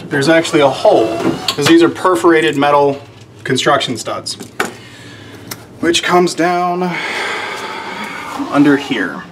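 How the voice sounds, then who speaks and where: A man's voice: a little high, slow pace, long pauses. An American man in his thirties explaining casually to camera, with rambling, wry, self-deprecating asides. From United States, Georgia. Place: indoors in a shop workroom under renovation. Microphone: camera microphone at about arm's length. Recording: faint background noise.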